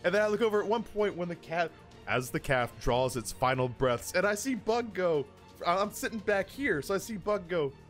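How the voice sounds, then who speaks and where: A man around his thirties tells personal stories on a livestream in a casual, comedic, animated way, with profanity and self-deprecation. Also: sits high, normal speed. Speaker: live streamer telling personal stories. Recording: music behind the voice. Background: background music.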